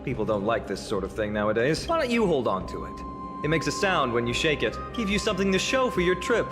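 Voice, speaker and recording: A man playing an earnest, genial young warrior guide in a video game, gentle and thoughtful. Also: medium pitch, very animated, brisk, close to an everyday voice. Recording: game music underneath. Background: game music.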